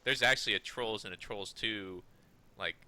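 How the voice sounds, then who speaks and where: A man co-hosting a podcast in casual discussion, halting and often correcting himself. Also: fairly low pitch, pushed and bright. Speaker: podcast co-host.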